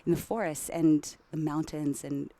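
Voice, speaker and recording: A woman's voice: low-pitched, little pitch movement, a little slow, dark tone. A woman from Hawaii, a campaign ambassador, giving fluent and upbeat interview answers at a trade show.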